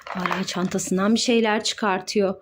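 A woman's voice: mid-range voice, fairly even intonation, normal speed, noisy background. A woman playing with her child, narrating toy doctor play in a sing-song, encouraging way and explaining each step.

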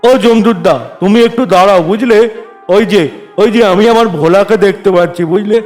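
Cartoon casting: man as innocent, nosy ordinary fellow, high-pitched male, chatty. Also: steady tone, brisk, a little mellow, faint music behind, lightly acted. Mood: eager, friendly. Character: naive, chatty living man wandering curiously among the dead. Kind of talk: Bengali cartoon voice acting.

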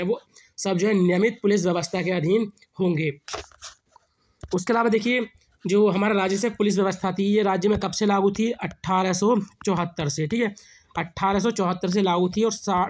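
A young man with a sore throat explains quiz answers over slides, asking a question and then repeating the answer. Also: high-pitched. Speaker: exam prep teacher. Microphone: screen-recording voice-over.

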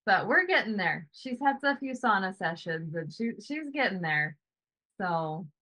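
A woman's voice: mid-range voice, sing-song, unhurried, pushed and bright. A woman in her thirties, a sauna business owner, chatting casually on a video call from home, often tagging "right?" onto her points. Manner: chatty, hedging with "you know" and "right?".

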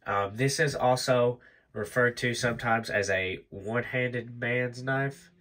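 A young man with a nasal, stuffy voice from allergies, chatting casually through a show-and-tell of his collection, relaxed and rambling with lots of ums. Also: mid-range voice, measured pace. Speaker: knife collector vlogger. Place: indoors at a table.

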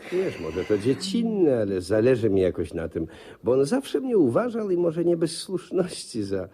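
An actor in a 1950s Polish radio comedy playing a naive husband in awe of an old schoolmate, gushing, stammering and repeating himself. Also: male voice, mid-range voice, huge pitch swings, moderate pace, mellow, breathy edge. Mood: earnest, anxious. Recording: slightly muffled 1950s radio play recording.

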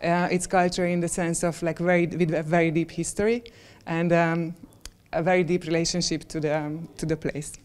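Woman from Hungary, 40s, lowish smooth voice, halting with ums, handheld mic in a stone room. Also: flat delivery, a little mellow. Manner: hesitant, many ums and restarts. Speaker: interviewee, project member. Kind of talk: on-the-street interview answers. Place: stone-walled room, indoors. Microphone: handheld interview mic.

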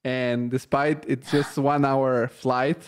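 A man in his thirties from Bulgaria, hosting an interview podcast from a small home studio on a boom mic, friendly and hesitant with many fillers. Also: flat delivery, takes it slowly, clear, resonant tone. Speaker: podcast host. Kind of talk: casual interview. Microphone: studio boom mic, headphones.

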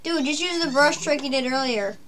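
High-voiced woman gamer chatting. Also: a little fast, fairly bright tone, slight background noise. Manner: chatty, jokey, talks to herself. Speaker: let's play gamer. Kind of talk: casual gameplay commentary.

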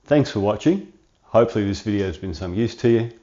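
Low-voiced man with a cold. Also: fast, dull audio, slight background noise. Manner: relaxed, chatty, rambling asides. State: losing his voice, sniffly cold. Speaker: workshop channel host. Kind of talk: hands-on tutorial. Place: home garage workshop.

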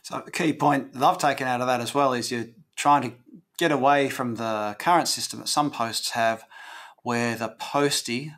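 Podcast host: man, forties, average pitch, conversational interviewer, recorded on a video call. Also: steady tone, moderate pace, fairly bright tone. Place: indoor office, video call.

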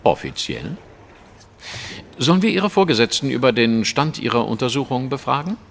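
An actor playing a shrewd, self-assured English detective in an audio drama, speaking precisely and eloquently in a lecturing manner. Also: male voice, medium pitch, big pitch swings, normal speed, gravelly. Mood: composed, grave.

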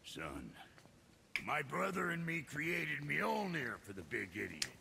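An actor playing a blunt, foul-mouthed male dwarf blacksmith in a video game, with a gravelly voice. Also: on the high side, wildly swooping pitch, slow, background noise, full character voice. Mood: boastful. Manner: blunt, colorful curses.

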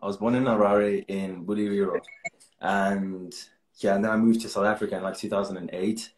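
A man's voice: on the low side, steady tone, fairly quick, roomy sound, rough recording. A young man from Zimbabwe, an actor guest talking earnestly and chattily on a video call from a small room, laughing often. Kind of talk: casual interview over video call. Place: small room indoors at home.

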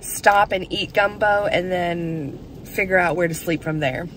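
A woman's voice: on the low side, a little slow. Chatty woman filming in a rainy car. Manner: chatty, laughs often. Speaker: vlogger. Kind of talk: casual vlog chat. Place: inside a car in heavy rain. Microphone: camera at arm's length. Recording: rain noise on car. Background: rain drumming on the car.

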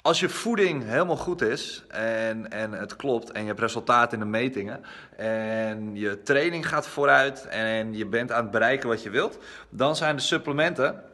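A man's voice: mid-range voice, normal speed, sharp tone. A young adult man with a Netherlandic Dutch accent, a personal trainer giving an informal, conversational vlog tour on a handheld phone. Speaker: personal trainer and gym owner. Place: small gym rooms indoors. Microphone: phone at arm's length. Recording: reverberant indoor phone recording.

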